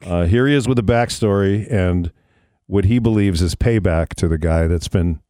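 Male radio host, lowish voice, dry close mic. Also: normal speed, dark tone, no echo. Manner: easygoing, laughs along. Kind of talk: casual radio interview.

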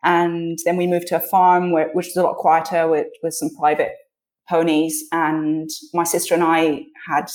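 A woman from Switzerland with a posh British accent, chatting casually as a podcast guest and often pausing to think mid-sentence. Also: low voice, level tone, a little mellow. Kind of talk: casual interview chat. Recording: roomy echo on the voice.

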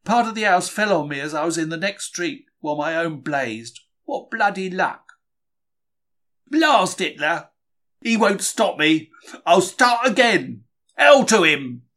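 A man's voice: sits high, sing-song, measured pace, bright, forceful tone, exaggerated voice. A man reading a diary dramatically as a gutsy, emotional Cockney bookseller in wartime, colloquial and confiding. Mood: distressed, then angry, defiant. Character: gutsy, emotional working-class London bookseller diarist in wartime. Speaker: reader.

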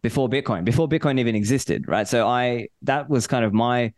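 A man raised in Australia chatting as a podcast guest, relaxed and thoughtful, with fillers and restarts. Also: mid-range voice, a little fast, no room sound, clean studio sound. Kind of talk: casual interview chat.

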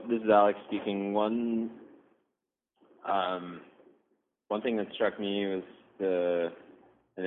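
A young man from coastal Maine with a very deep, even voice, answering questions as a podcast guest. He talks thoughtfully and rambles, often restarting his sentences. He comes through a tinny, phone-like line with a faint hiss underneath. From coastal Maine, USA. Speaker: guest designer. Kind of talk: casual interview answers.

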